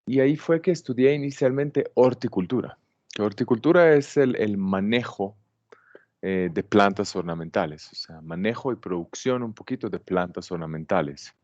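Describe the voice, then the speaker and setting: A man in his forties from Israel with an Israeli accent, giving a calm, halting introduction to an online plant workshop, with many fillers. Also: on the low side, no echo. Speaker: landscape designer teaching a plant workshop. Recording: dull, dry computer-mic sound.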